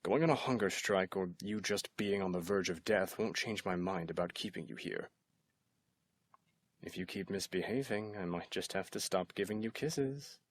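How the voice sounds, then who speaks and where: A man's voice: deep voice, normal speed. A man playing an obsessive, possessive kidnapper in an intimate ASMR roleplay, sweetly menacing and teasing, often dropping into whispers. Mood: cold, teasing.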